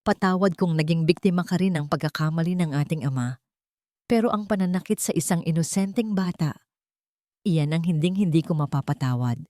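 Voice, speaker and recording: A woman voicing a protective, resolute father in an audio drama, speaking in a calm, earnest way. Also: low voice, fairly quick, no echo, clean studio sound, lightly acted. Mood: sorrowful, resolute.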